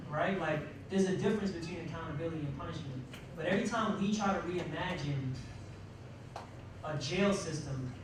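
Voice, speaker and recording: A man with a fairly high voice speaks off the cuff on a panel. He is emphatic, breaking his sentences with pauses and rhetorical questions. The sound is very reverberant, with clear noise in the room. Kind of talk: panel discussion remarks, unscripted. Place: large indoor room at a college panel event. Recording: echoey, noisy room, distant microphone.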